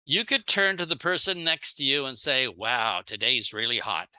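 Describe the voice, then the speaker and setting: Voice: medium pitch, big pitch swings, measured pace, sharp tone, rough recording. A speaker giving a sales training seminar in short plain phrases, acting out little example dialogues. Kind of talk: seminar talk in short phrases, paused for an interpreter.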